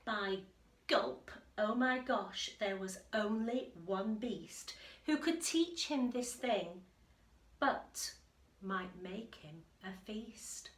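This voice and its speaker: Echoey, unhurried woman reading a children's storybook. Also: medium pitch. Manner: animated, deliberate storyteller with emphatic delivery. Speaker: infant school teacher reading aloud. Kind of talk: expressive children's picture book reading with chatty asides. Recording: roomy, echoey indoor sound.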